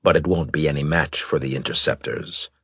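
A man voicing a large, gruff admiral in an audiobook, husky, deliberate and authoritative, with a skeptical, probing manner. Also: rumbling low, fairly bright tone, no echo, clean studio sound, voice pushed down, heavily acted. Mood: commanding. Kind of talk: audiobook character dialogue.

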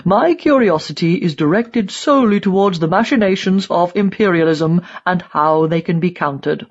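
An old East German propagandist played by a woman reading an audiobook, stubborn and quick to anger. He speaks with emphatic scorn and sarcasm in a husky voice. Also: on the low side, highs cut off. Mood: curt, irritated. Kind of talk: dramatised audiobook dialogue.

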